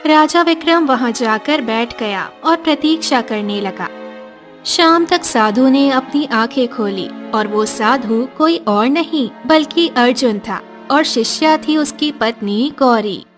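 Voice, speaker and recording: A woman's voice: high-pitched, expressive, slow pace. A woman reading scripted narration for a storybook cartoon, with music behind her. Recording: background music under the voice.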